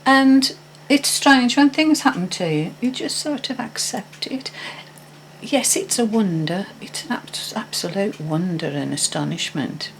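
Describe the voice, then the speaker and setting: A woman's voice: medium pitch, lively intonation, unhurried, gravelly, a little hiss or hum, low-quality recording. An older woman telling a personal story in a casual, hesitant, meandering way with frequent restarts. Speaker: vlogger.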